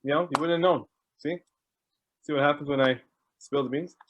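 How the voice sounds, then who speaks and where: A man's voice: on the high side, brisk, lo-fi sound, slight background noise. A man hosting an online video call, chatting casually and greeting people one by one while he jokes about stalling. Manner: chatty, joking, warm greetings to each arrival. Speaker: host.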